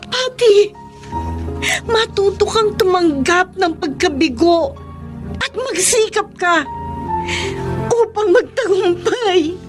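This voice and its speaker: A woman's voice: very high voice, expressive, slow, long pauses, music in the background, full character voice. An actress playing a principled young woman in a Filipino radio drama, speaking with earnest, pleading emotion. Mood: tearful, earnest. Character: young woman, Kate, loyal principled friend standing firm.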